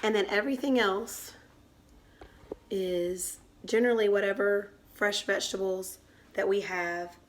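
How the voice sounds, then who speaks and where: Hoarse woman hosting a home cooking vlog. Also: medium pitch, steady tone, unhurried, a little hiss or hum. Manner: chatty, explains step by step. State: hoarse from a cold. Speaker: channel host. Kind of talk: casual cooking demonstration. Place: home kitchen.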